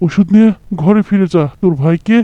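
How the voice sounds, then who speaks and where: A man voicing a kindly, generous old village doctor in a cartoon, speaking in a gentle, fatherly, unhurried way. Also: high voice, no echo, highs cut off, close to an everyday voice. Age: older.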